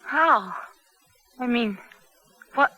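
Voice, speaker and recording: A woman playing a distraught but defiant American political prisoner in a 1970s radio drama, halting and anguished. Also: fairly high pitch, expressive, bright, forceful tone, full character voice. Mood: shocked, defiant.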